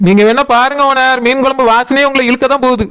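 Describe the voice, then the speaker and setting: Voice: high voice, fairly even intonation, fast talker, fairly bright tone, smooth. A performer voicing an eager, pushy young food-stall seller in a cartoon comedy, coaxing and nagging in Kanyakumari Tamil. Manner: coaxing, insistent.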